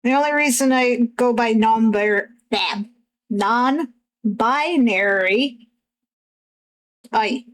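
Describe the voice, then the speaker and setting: A young woman with a husky voice that sits a little high for her, chatting on a livestream. She talks slowly and unhurriedly, hesitating and circling back with lots of fillers as she thinks aloud. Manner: hesitant, halting, many fillers and restarts. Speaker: streamer. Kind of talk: casual chat while drawing on stream.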